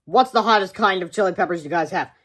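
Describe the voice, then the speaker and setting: Squeaky, eager boy prankster, scheming and breathless, put on by a man reading aloud. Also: fast talker, fairly bright tone. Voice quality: high, squeaky kid voice. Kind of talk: storybook reading.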